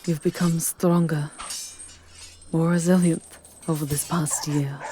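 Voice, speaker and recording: Casting: woman as ancient vampire countess, low voice, haughty and lovelorn, slow imperious delivery, ASMR roleplay drama. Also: flat delivery, dark tone, a little hiss or hum. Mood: heartbroken resignation. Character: powerful centuries-old female vampire countess, possessive, haughty, lovelorn.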